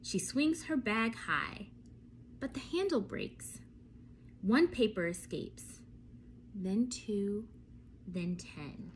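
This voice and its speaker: A woman reading a bedtime story aloud for children, expressive and often turning to ask her young listeners questions. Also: medium pitch, very slow, long pauses, a little hiss or hum.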